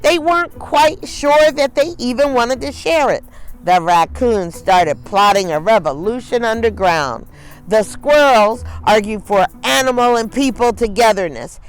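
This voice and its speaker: A woman narrates a children's picture book at an unhurried pace. Her mid-pitched voice is expressive, with measured phrasing and dramatic pauses. Quiet music plays behind her. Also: low-quality recording. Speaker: children's storyteller and host.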